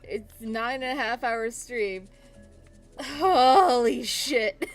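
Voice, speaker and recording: A high-voiced woman chats casually on a game livestream. She rambles slowly in a giggly manner, often circling back to repeat herself. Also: faint music behind. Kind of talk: casual game stream chat.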